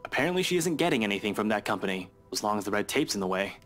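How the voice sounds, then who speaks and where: A nonchalant investigator in a visual novel. His voice is low and his delivery is dry, offhand and understated. Music plays behind him. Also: big pitch swings, very fast, a bit bright. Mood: guarded, casual. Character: nonchalant, overworked male investigator friend, dry-witted.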